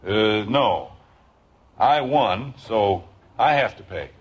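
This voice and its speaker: A man's voice: on the low side, animated, takes it slowly. An American actor playing an exasperated but affectionate middle-aged father in a 1950s radio sitcom. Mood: calm, kindly. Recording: muffled, hissy old radio recording.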